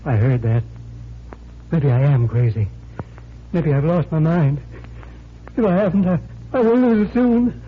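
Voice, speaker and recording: Male actor as desperate, faltering bank teller near breaking point, old radio drama; raised pitch, tinny phone-like sound. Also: a little slow, pauses often, slight background noise, natural voice. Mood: tormented, agitated. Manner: distraught, faltering, pleading. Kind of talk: 1940s radio acting. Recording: thin, lo-fi 1940s radio recording.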